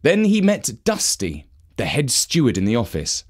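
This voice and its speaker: A man narrating a children's story from a script in an expressive, measured manner. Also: mid-range voice.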